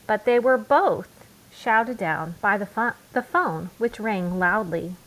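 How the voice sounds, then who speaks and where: A woman narrating a children's storybook aloud in a deliberate way, with emphatic pauses. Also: medium pitch, animated, a bit bright, low-quality recording. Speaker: children's book reader.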